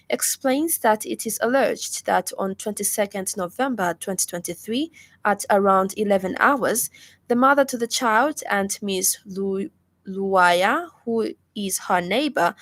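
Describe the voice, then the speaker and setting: A woman with a Zambian accent reads a scripted radio news bulletin in a formal, measured manner. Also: mid-range voice. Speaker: news reader.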